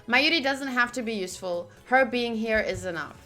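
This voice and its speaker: Bright, high-voiced woman streaming a visual novel. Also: moderate pace, soft background music. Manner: expressive, gushing asides between read passages. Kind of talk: game playthrough, reading narration aloud with live reactions.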